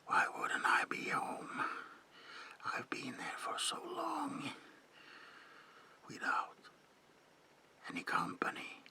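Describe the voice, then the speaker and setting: A man voicing an eerie, reclusive old vampire in a breathy, gravelly whisper for an ASMR roleplay, murmuring old-fashioned phrases with lingering repetitions and polite menace. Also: extremely high pitch, huge pitch swings, takes it slowly, long pauses, heavily acted. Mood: menacing, reproachful.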